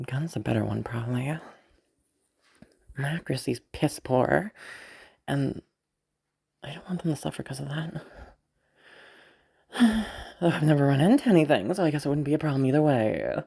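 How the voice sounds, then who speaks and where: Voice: medium pitch, gravelly, warm tone, husky. A performer voicing a proud, frustrated young woman inventor out hunting, muttering to herself with sighs and swearing in a dramatic audio roleplay. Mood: resigned, musing. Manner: muttering to self, sighing, sweary self-argument.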